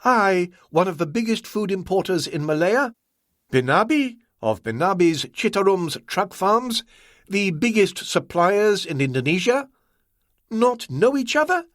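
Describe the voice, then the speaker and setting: A man voicing a cold, contemptuous male merchant who speaks slowly and formally. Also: sits high, very animated, fairly bright tone, no room sound, studio quality, pitched above the performer's own voice, exaggerated voice. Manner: slow, clipped, coldly formal.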